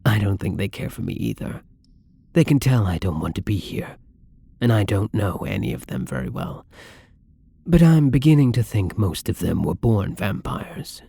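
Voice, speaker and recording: A man playing a gentle, lonely, tormented vampire in an intimate ASMR roleplay, speaking softly and hesitantly in a breathy, brooding way, often near a whisper. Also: fairly low pitch, very animated, no room sound, full character voice. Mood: lonely, weary. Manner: slow, hesitant, brooding, tender. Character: melancholy young male vampire, gentle, lonely, tormented, once human.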